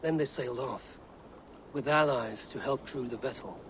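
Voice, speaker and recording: A man playing a steady, probing Viking raider in a video game, with a calm, direct manner. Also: mid-range voice, normal speed, a little hiss or hum, close to an everyday voice, rough recording. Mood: deducing. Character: steady, probing male Viking raider leading his clan. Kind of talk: video game cutscene acting.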